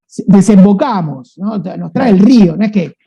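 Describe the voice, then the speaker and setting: Gravelly, husky man from Buenos Aires. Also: high-pitched, lively intonation, moderate pace. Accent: Rioplatense Spanish. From Buenos Aires, Argentina. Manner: rambling, many restarts and fillers, frequent "¿viste?". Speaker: guest writer. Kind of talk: casual conversational interview. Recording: dull lo-fi sound.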